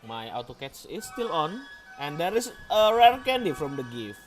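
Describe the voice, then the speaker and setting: A man's voice: on the high side, big pitch swings, moderate pace. A young man from Indonesia with an Indonesian accent, chatting casually on a live game stream, switching between slang and English and reacting playfully to viewers. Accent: Indonesian, Palembang. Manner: playful, reads and reacts to chat. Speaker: gaming streamer. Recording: music playing underneath.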